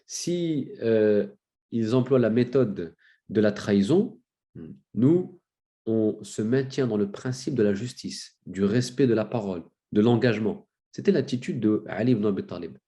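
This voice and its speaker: A man with a cold giving a spoken lecture, telling a story with many fillers and restarts and rising in pitch for emphasis. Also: animated, unhurried, mellow. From France. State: has a cold. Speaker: lecturer on religious history.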